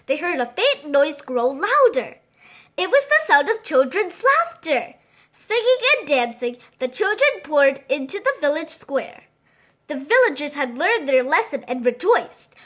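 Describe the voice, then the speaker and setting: A woman reading a children's story aloud as an expressive, scripted narration. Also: high-pitched.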